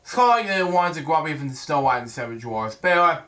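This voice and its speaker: A man hosts a storybook read-aloud in a bright, forceful voice pitched a little high. He stumbles over words and restarts often. His delivery rambles between reading and casual chat. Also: expressive, racing.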